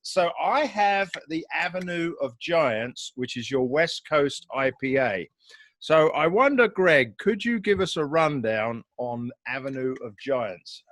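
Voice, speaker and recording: A man's voice: on the high side, lively intonation, measured pace, fairly bright tone, no room sound. A British man hosting a casual video-call happy hour, chatty and jokey, with frequent fillers and restarts. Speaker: host.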